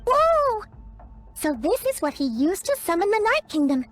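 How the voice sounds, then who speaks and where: Squeaky, excitable little fairy companion. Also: female voice, unhurried, faint music behind, full character voice. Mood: amazed. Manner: squeaky, exclamatory, speaks of herself in third person. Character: tiny excitable childlike fairy companion, indignant and naive. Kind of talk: video game voice acting.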